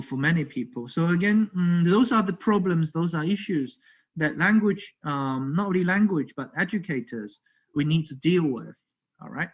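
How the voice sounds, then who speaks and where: A man, an English speaker, giving a conference lecture in a halting way, repeating words and checking in with "okay" and "right". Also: on the high side. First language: not English. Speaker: academic presenter, language educator. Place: virtual conference call. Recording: dull, lo-fi online audio.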